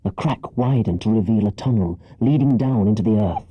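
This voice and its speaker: A male audiobook narrator tells a children's adventure with dramatic care. His voice is lowish, very dark and husky. The recording is dry, with little room around the words. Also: a little fast, dry recording.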